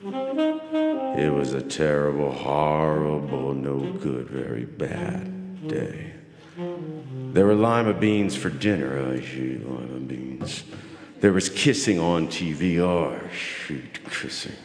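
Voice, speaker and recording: A man reading a children's story dramatically, with a deliberate, grumbling delivery like a sulky child narrator. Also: a bass voice, wildly swooping pitch, over music. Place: large library event room with audience. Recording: roomy live hall with loud saxophone. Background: loud live saxophone.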